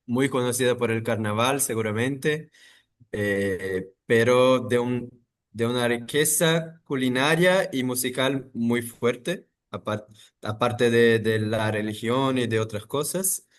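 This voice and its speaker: A man, talking in a thoughtful, halting way with many pauses and restarts as an interview guest. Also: mid-range voice. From Brazil. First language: Portuguese. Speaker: architect guest. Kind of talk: interview conversation.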